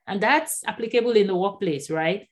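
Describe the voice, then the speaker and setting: A Nigerian-born woman in her thirties whose first language is Yoruba, speaking as an author and guest in a conversational interview, animated and quick to laugh. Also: mid-range voice. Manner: animated, laughs often, repeats words when starting a thought.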